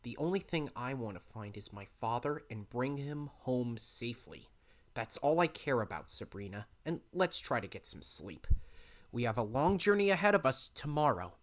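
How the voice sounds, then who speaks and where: A woman's voice: extremely low pitch, big pitch swings, moderate pace, noisy background. A woman voicing an earnest, devoted young male raccoon adventurer in an audio drama. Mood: tender, soft. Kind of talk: audio drama acting and narration.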